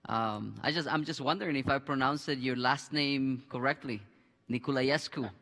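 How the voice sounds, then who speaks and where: Pastor hosting a Bible talk, hall reverb. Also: male voice, medium pitch, sharp tone. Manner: halting, many restarts and fillers. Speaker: pastor and host. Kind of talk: conversational Bible discussion. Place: church studio with a screen behind. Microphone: headset mic.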